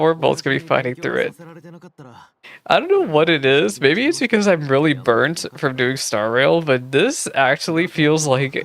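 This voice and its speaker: A woman livestreaming a game playthrough, chatting casually with viewers in a gushing, expressive way. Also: low-pitched, gravelly. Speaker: streamer reacting to a game playthrough. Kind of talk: casual livestream chat and commentary. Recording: game music behind the voice. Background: game music.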